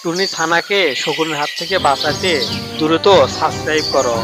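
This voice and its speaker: A man's voice: fairly high pitch, fairly quick, over loud music. A man narrating a scripted children's cartoon story.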